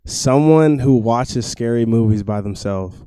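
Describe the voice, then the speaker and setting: A man's voice: mid-range voice, a little slow, warm tone, smooth, dry recording. A young man from the American Midwest talking casually as a guest on a group podcast.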